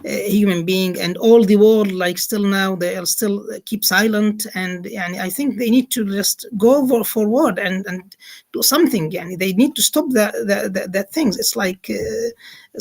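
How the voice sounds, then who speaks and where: A man's voice: high voice, creaky, slightly rough. A man interviewing a guest, speaking hesitantly with many fillers, restarts and Arabic religious phrases. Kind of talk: casual interview.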